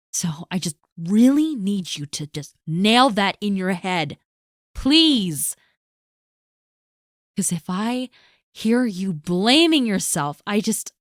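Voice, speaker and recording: A woman voicing a caring, protective best friend in an audio roleplay, talking earnestly to the listener and often dropping to a soft hush. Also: medium pitch, no echo, studio quality. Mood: tender, reassuring.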